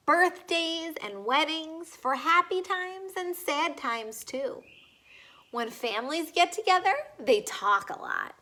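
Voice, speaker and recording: A woman reading a children's picture book aloud in a deliberate way, putting questions to young listeners. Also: sits high, bright, forceful tone. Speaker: read-aloud reader.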